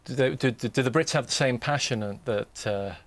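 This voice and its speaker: A man's voice: medium pitch, a little fast. Guy reading the local news, chatty and friendly with his questions. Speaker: regional news presenter. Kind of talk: news presenting and studio interview.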